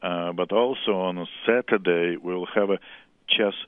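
A deep-voiced man with a strong Russian accent speaks in halting, earnest English. He comes through a tinny, phone-like line with no room sound around him. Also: fairly even intonation, dry recording. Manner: earnest, halting non-native English with some laughter. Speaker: chess school director. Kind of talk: phone interview.

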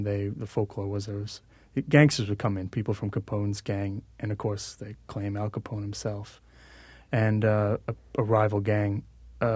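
A man speaks casually as a musician guest on a radio show, often stopping and restarting his thoughts. His voice is on the low side. The studio sound is close and dry. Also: a little mellow, no room sound. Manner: halting, many restarts.

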